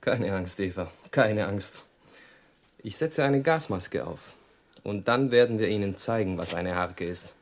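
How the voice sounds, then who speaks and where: A naively heroic engineer in a radio drama. His voice sits fairly low, and he talks with brash assurance and defiance, like a believer in a great cause. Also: lively intonation, unhurried. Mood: bold, reassuring. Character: confident, defiant male engineer, naively heroic idealist Adam. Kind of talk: German radio drama acting.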